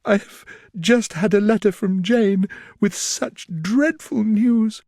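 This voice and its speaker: A man reading aloud, voicing a distraught young gentlewoman with an urgent, pleading, high and breaking voice. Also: sing-song, unhurried, dry recording, clean studio sound, exaggerated voice. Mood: anguished.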